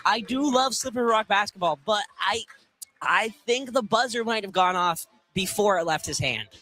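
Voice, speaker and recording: A young man works as color commentator on a live college basketball broadcast. His voice is bright and a little high, and he analyzes plays in an informal, conversational way. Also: animated, normal speed.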